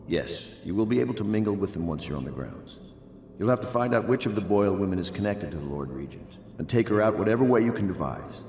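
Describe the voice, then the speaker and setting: Deep, grave conspirator in echo. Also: male voice, lively intonation, fast, darkish tone. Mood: resolute, serious. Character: grave, resolute male conspirator leader. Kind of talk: video game voice acting. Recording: roomy echo, faint music.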